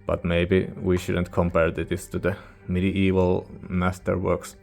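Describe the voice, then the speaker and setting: Male reviewer, non-native English speaker: low-pitched, very even intonation, informal and halting, rambling casually. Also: creaky, soft background music. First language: not English. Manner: hesitant, informal, searching for words. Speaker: music reviewer, channel host.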